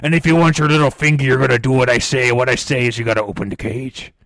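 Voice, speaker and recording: A man's voice: medium pitch, fairly even intonation, quick pace, a bit bright, dry recording, natural voice, rough recording. A man voicing a mischievous, biting male demon pirate parrot in an improvised comedy bit, growling pirate "yarg"s. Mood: menacing boastful.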